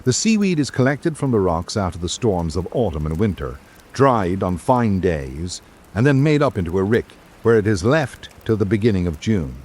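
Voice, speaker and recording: A man reading scripted literary narration in a measured, observational manner. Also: lowish voice, sing-song, a little mellow. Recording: background noise and ambience.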